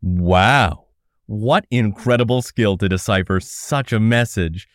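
An actor playing a flustered radio telethon host who laughs nervously and begs listeners to call. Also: male voice, lowish voice, takes it slowly, no room sound, studio quality.

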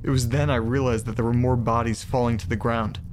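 A man acting in an audio drama as a shaken narrator reading an eerie first-person account, with deliberate, broken phrasing and dramatic pauses. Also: medium pitch, level tone, noisy background, lightly acted. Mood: shaken, bewildered.

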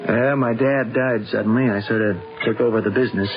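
Male actor as lovesick young American businessman, stubborn, earnest halting speech, mid-range voice, 1970s radio drama, dull broadcast, music behind. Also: mid-range voice, fairly even intonation, close to an everyday voice. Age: young adult.

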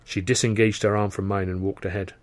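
A man's voice: on the low side, little pitch movement, brisk, dry recording. A man reading a first-person novel as a scripted audiobook narration.

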